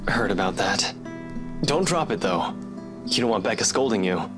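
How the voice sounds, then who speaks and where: An actor playing a reserved, gently teasing young man in a visual novel game. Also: fairly low pitch, expressive, normal speed, natural voice. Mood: reassuring. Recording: guitar music behind the voice.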